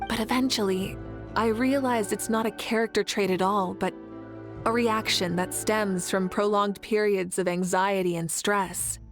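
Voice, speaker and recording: A woman voicing a confident, composed leader in a video game, speaking in a measured, articulate and thoughtful way over music. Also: mid-range voice, fairly even intonation, natural voice. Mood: calm, reflective. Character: confident, composed warrior leader, a self-described workaholic idealist. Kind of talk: video game character dialogue.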